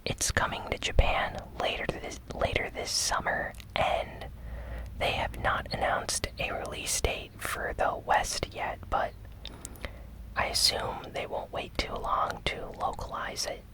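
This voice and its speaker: This is an ASMR news host who speaks only in a soft, breathy whisper. The pace is very slow and measured, broken into short phrases. The delivery is gentle, in the style of a relaxed recap. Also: rough recording. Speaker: host of a gaming news show.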